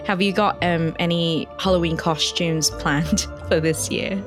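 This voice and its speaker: A woman's voice: fairly low pitch, steady tone, a little slow, over music. A young woman hosting a podcast, interviewing her guest in a casual, conversational style. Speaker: podcast host.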